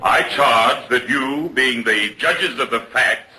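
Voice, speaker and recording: Solemn old-time judge, very sharp hard voice, formal and declamatory, vintage radio drama. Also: male voice, medium pitch, takes it slowly, low-quality recording. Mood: solemn, stern. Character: stern, solemn colonial judge charging a jury. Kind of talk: 1940s radio acting.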